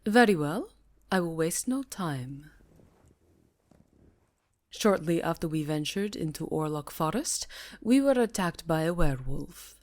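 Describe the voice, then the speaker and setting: A woman's voice: deep voice, animated, measured pace, pauses often, darkish tone, dry recording. A woman playing a haughty, commanding vampire countess in an ASMR roleplay, with poised, formal diction. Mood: formal, composed.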